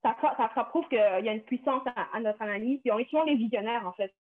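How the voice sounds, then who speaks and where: A woman's voice: on the high side, quick pace. A young woman from Quebec with a Québécois accent, speaking earnestly on a panel, restarting and repeating words often. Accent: Québécois French. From Canada, Quebec. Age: young adult. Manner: earnest, many restarts and repetitions. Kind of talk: panel discussion, personal testimony. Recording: tinny phone-like line.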